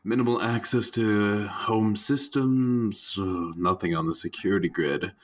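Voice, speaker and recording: A man's voice: fairly low pitch, a little slow. A man voicing a cocky hacker on a heist in a tabletop game, self-assured and musing to himself. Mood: confident. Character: cocky, smooth-operating male hacker on a heist.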